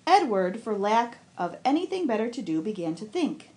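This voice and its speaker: A woman on a school's staff reading a children's novel aloud, with expressive storybook narration. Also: on the high side, moderate pace.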